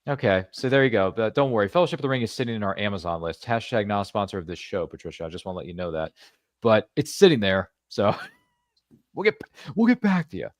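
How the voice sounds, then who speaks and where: Deep-voiced chatty man hosting a podcast. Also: animated, fast talker, no echo, clean studio sound. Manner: chatty and rambling, with jokey asides. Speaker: podcast host. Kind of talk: casual interview chat.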